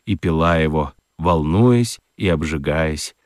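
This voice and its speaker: A man's voice: extremely low pitch, slow pace, no echo, studio quality. A man narrating a children's radio story expressively from a script.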